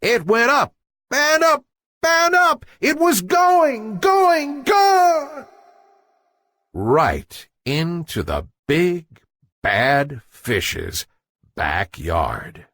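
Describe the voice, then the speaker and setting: Slow, highly animated male storyteller. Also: no room sound, studio quality. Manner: dramatic, builds suspense. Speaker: children's storyteller. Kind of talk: expressive scripted bedtime story narration.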